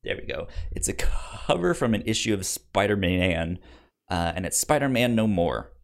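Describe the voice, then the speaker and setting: A man with a fairly low voice argues his side in a casual debate, as a podcast co-host would. His delivery is halting, and he often stammers over a word and repeats it before moving on. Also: expressive, gravelly.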